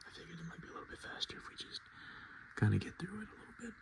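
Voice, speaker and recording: A man speaking softly and often whispering in a casual ASMR style, with hesitant, halting, murmured delivery. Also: fairly high pitch, big pitch swings, gravelly. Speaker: ASMR video host. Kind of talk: soft-spoken and whispered ASMR, casual commentary. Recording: noticeable background noise.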